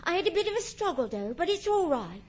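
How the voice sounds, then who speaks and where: An actress playing a flustered, obedient young housemaid in a stage comedy, with clipped, eager replies. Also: female voice, extremely high pitch, sing-song, fast, darkish tone.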